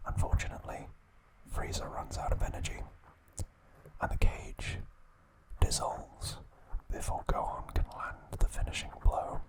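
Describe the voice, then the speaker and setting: A man hosting an ASMR video, recapping in a soft, unvoiced whisper. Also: a little slow, low-quality recording. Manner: brief aside to his cat. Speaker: ASMR host.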